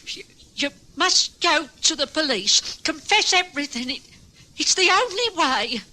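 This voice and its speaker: A woman with an English accent acting a worried, gentle older wife in a radio drama, speaking in a soothing, coaxing way. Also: sits high, animated, a little slow, full character voice. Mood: pleading. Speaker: wife Emma.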